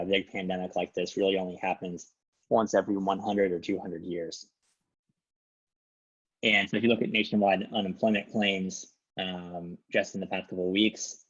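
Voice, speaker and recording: On a dull, lo-fi conference call, a man gives an informal market briefing. His voice is very deep and flat, and somewhat husky as he talks through the figures. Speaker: investment firm presenter.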